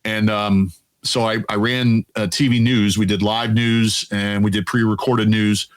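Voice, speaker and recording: A man in his fifties with a deep, resonant voice that sits on the low side. He tells stories in a relaxed, chatty manner, acts out his own past remarks and laughs easily. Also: unhurried. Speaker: Air Force veteran guest. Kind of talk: casual storytelling interview.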